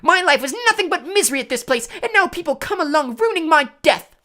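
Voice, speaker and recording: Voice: mid-range voice, huge pitch swings, a little slow, vocal fry, bright, forceful tone, slightly rough, pitched above the performer's own voice, heavily acted. A narrator voicing a melodramatic, self-pitying ghost of a girl with a tearful wail, in an audiobook story. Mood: wailing, wounded. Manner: tearful, wailing, dramatic.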